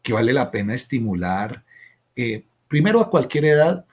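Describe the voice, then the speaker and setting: A man from Colombia hosting an interview, speaking in a measured, flowery way. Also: medium pitch, very animated, a little mellow. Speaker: interviewer, host. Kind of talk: formal interview questions. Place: Cali, Colombia.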